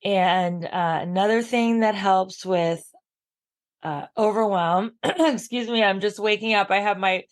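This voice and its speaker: A woman's voice: mid-range voice, unhurried. A middle-aged woman giving advice in a casual interview, talking emphatically with lots of "like", her voice froggy from just waking up. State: husky morning voice. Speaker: podcast guest, abundance mentor.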